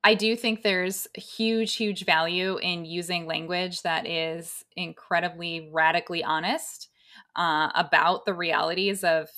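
A woman's voice: fairly low pitch, steady tone, a little slow, bright, forceful tone. A woman talking conversationally as a podcast co-host.

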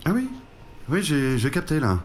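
A French actor playing a grumbling, dry-witted barber in a naturalistic audio drama. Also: male voice, fairly high pitch, sing-song, normal speed, slight background noise. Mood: dry, annoyed. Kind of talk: naturalistic audio fiction dialogue.

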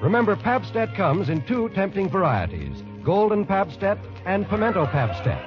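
Animated male vintage radio pitchman. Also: moderate pace. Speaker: radio commercial announcer. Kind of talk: 1940s radio advertisement. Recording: dull old radio with loud music.

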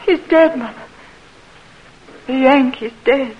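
An actress playing an impassioned young belle in a Civil War radio drama. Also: female voice, sits high, slow pace, muffled recording. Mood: alarmed. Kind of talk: old-time radio drama acting. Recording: noisy vintage radio recording.

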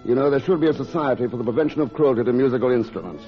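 An actor playing a courteous English doctor in an old-time radio drama, polite and dryly playful. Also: male voice, mid-range voice, very fast, lightly acted. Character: courteous, gently teasing English male doctor. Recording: muffled vintage radio recording with music.

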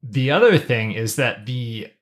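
A middle-aged podcast co-host chatting casually, with an informal, halting delivery and slangy asides. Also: mid-range voice, expressive. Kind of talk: casual chat.

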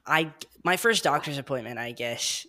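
A man in his twenties hosting a podcast, chatty and quick to laugh at himself. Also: medium pitch, pushed and bright. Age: 20s. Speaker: podcast host. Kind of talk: casual chat.

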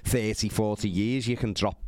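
A man's voice: fairly low pitch, fairly even intonation, normal speed, darkish tone. A man hosting a casual chat, matey and colloquial as he reads out listener questions. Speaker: podcast host.

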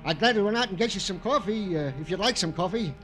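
An actor playing a nervous, eager-to-please police sidekick in a 1950s radio drama, hesitant and apologetic. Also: male voice, sits high, animated, fast talker. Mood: anxious, eager. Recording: vintage radio recording, loud music behind.

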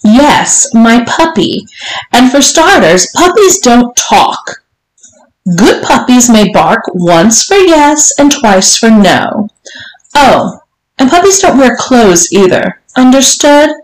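A woman's voice: fairly high pitch, very animated, takes it slowly, pitched above the performer's own voice. Woman putting on an imperious, taunting mistress, lilting upward. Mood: stern, commanding. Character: domineering, teasing, strict mistress demanding obedience. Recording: roomy, echoing room sound.

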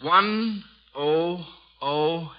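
A man's voice: a little high, extremely slow. A man with an American accent hosting a 1940s radio quiz show, encouraging his contestants. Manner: encouraging, praising. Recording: dull vintage radio recording.

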